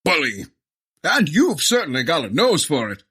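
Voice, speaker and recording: A man's voice: fairly high pitch, wildly swooping pitch, moderate pace, pushed and bright, breathy edge, full character voice. A man playing a jolly, pompous police commissioner and adventurer in a comedic audio drama, exclaiming grandly. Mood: pompous cheer.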